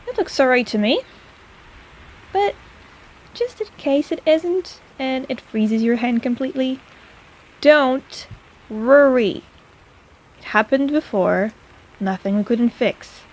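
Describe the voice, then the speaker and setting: A woman's voice: on the high side, a little mellow, rough recording. A woman playing a snarky but caring apothecary in an intimate fantasy audio roleplay, chatty and teasing in a casual way. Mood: reassuring, wry.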